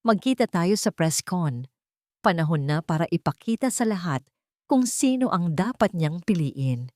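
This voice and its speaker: A woman voicing a smug, scheming wealthy father who talks slowly and self-assured. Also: on the low side, animated, darkish tone, dry recording, studio quality.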